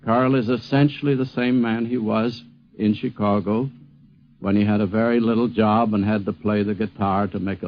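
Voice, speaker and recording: A man giving a reflective spoken tribute, stressing his points deliberately. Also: lowish voice. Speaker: commentator recalling an acquaintance. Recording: dull lo-fi vintage radio recording.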